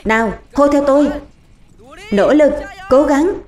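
A woman's voice: sits high, unhurried, a little mellow. A woman voicing a fiery young male warrior in a dubbed cartoon, rousing and encouraging his recruits. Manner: rousing, exhorting. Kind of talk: animated series dubbing.

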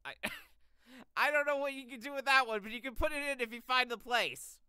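A man's voice: very high voice, a little fast. A man who is a voice actor, goofing around and ad-libbing in outtakes. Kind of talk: goofy blooper ad-libbing. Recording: very noisy, clicking mic.

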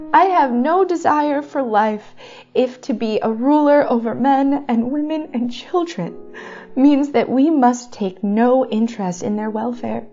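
Audio drama princess, female actor: young, proud, high-principled, declaims earnestly; dull lo-fi recording. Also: a little mellow. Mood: weary, resolute.

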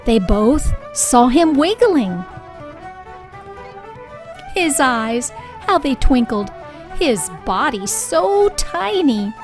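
A woman narrates a children's storybook in a high voice. She goes slowly and deliberately, phrasing each line expressively, with music playing behind her. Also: very animated, low-quality recording. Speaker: storybook reader. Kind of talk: children's read-aloud narration.

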